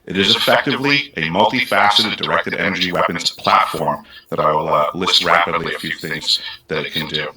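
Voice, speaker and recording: Low-pitched man with a bright, sharp voice. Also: fairly quick, few pauses, a little hiss or hum, rough recording. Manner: earnest, methodical listing. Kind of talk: presenting research claims.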